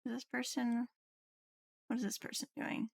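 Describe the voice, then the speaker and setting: A woman's voice: on the high side, completely flat delivery, moderate pace, creaky, darkish tone, breathy edge, no room sound, clean studio sound. A woman game streamer chatting casually on a livestream, musing aloud in loose, half-finished thoughts. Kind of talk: casual livestream chat while gaming. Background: game music.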